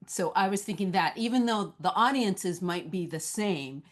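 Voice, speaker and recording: A woman's voice: medium pitch, normal speed. A woman moderating a conversational question-and-answer session and putting questions to a guest.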